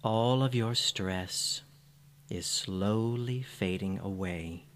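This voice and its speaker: A man narrating a scripted guided meditation in a soothing, gentle manner. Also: on the low side, slight background noise. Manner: soothing, unhurried, gentle phrasing.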